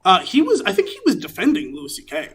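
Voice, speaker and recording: A man's voice: high-pitched, wildly swooping pitch, fast talker, breathy edge. A man co-hosting a podcast, giving opinions in casual discussion and carefully qualifying what he thinks. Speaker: podcast co-host.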